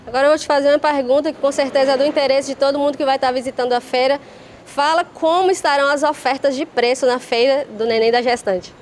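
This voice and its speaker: High-voiced TV reporter woman. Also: steady tone, fast, slight background noise. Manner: clear, scripted questions. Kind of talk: news interview. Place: outdoors by a mural wall. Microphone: handheld reporter mic.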